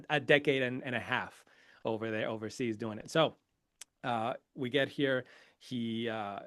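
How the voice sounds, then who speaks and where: Guy from venezuela, spanish is his first language, chatty and proud, dry close mic, middle pitch. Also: measured pace, dry recording. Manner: energetic, proud, laughs often. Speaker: podcast guest. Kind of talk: casual interview storytelling.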